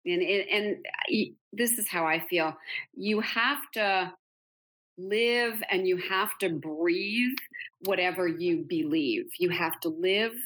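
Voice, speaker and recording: A woman's voice: lowish voice, slow pace, fairly bright tone. A middle-aged woman guest, telling her story in an earnest, emphatic way during an interview conversation. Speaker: interview guest, author and speaker.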